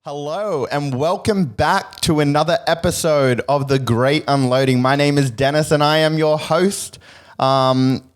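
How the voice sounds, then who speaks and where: A young Australian man hosting a casual podcast chat, talking in a relaxed way with many fillers and restarts. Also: on the high side, unhurried, clear, resonant tone. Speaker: podcast host.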